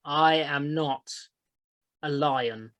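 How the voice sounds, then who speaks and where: A woman's voice: deep voice, very slow, a bit bright, no echo. A young woman, hosting a crude casual chat podcast, blunt and giggly as she rambles. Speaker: podcast host.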